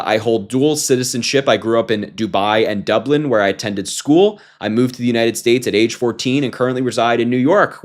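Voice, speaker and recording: A man in his twenties giving casual, combative political commentary to camera, talking informally with fillers and restarts. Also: medium pitch. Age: 20s. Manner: mocking, combative.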